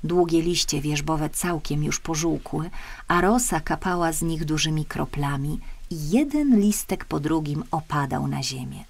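A woman's voice: low voice, measured pace, creaky, husky. A woman narrating a children's audiobook, telling the scripted story expressively.

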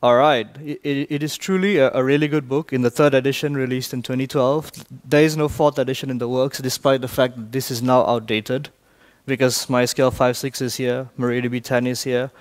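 A man's voice: medium pitch, fairly even intonation. Man from Malaysia giving a conference talk. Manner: brisk, quizzes the audience often. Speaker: conference speaker. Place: large conference hall.

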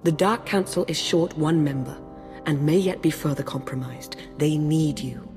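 An actress playing a composed woman agent who speaks in a calm, steady way. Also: low-pitched, normal speed, soft background music, natural voice.

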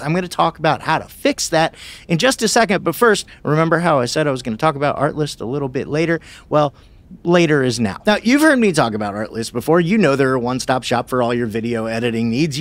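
A man in his thirties hosting a casual, conversational software tutorial, on a close broadcast mic in a small studio. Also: a little high. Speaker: video tutorial host. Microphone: close broadcast mic on boom arm.

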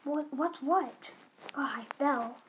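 A woman's voice: high-pitched, slow, background noise. A woman improvising roleplay chat while playing a survival game, urging her partner along in a conversational way.

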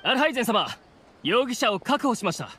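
A man playing a deferential guard officer in an anime game, speaking formally, politely and briskly. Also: very high voice, sing-song, sharp tone, a little hiss or hum, exaggerated voice. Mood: dutiful, respectful. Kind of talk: Japanese anime game voice acting.

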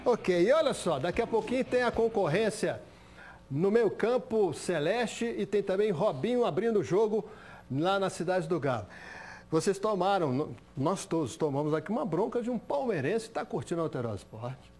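Chatty TV sports host, higher voice. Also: male voice, lively intonation, moderate pace, darkish tone. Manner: conversational, frequent "né?" tags. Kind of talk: studio sports talk, reading viewer messages. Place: TV studio, standing.

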